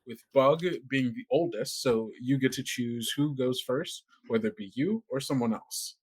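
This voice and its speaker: A man's voice: mid-range voice, moderate pace, breathy edge. A man hosting a tabletop role-playing game, casually explaining rules to players.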